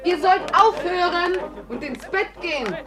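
Cheeky, lively young daughter. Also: female voice, very high voice, unhurried, crowd noise. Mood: pert, teasing. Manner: teasing, pert. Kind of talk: German radio play acting.

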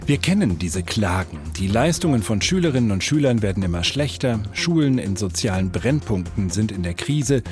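A male radio host reading a scripted introduction, then interviewing a guest in measured tones. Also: lowish voice, sing-song, music in the background. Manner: measured, sentence prompts.

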